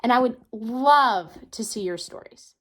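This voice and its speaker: High-voiced woman teaching children. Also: animated, unhurried, fairly bright tone. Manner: explains simply with frequent questions to the viewer. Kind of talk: children's how-to lesson, casual talk to camera. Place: indoors at home.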